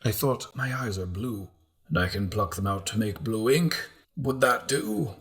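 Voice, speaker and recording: A man's voice: lowish voice, unhurried, a bit bright. A man voicing a troubled, fragile visionary with a strained, fervent delivery in an audiobook. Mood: dazed, desperate. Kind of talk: audiobook character acting.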